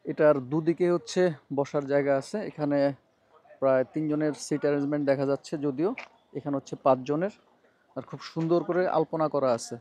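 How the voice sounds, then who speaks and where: Higher-pitched Bangladeshi man narrating a vlog. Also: mellow. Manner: informal, mixes English words into talk. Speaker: vlogger.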